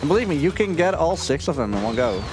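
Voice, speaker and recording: A man's voice: fairly high pitch, big pitch swings, quick pace. A man giving casual, chatty, self-deprecating live commentary while speedrunning a video game. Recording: live marathon stream with game audio. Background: game music.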